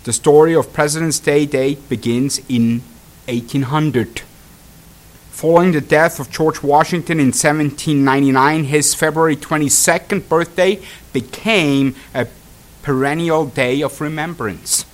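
A traveling pastor with a Swiss accent giving a sermon, talking in halting bursts full of fillers and restarts, then reading prepared text aloud. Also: male voice, fairly high pitch. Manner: hesitant, many fillers and restarts. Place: congregation hall.

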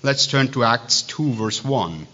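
A man with a Swiss accent preaching a sermon, reading scripture and breaking off into halting, digressive asides full of restarts. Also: medium pitch, lively intonation, bright, forceful tone. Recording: dull lo-fi sound.

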